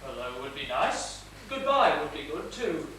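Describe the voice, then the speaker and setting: A man's voice: fairly high pitch, very animated, normal speed, pushed and bright. Man acting fussy, haggling father on an echoey stage. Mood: exasperated, urging. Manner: courteous, florid, theatrically projected delivery. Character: fussy, haggling, tender-hearted middle-aged Russian father. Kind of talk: live comedic stage play acting. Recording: echoey theatre stage, distant mic, noisy.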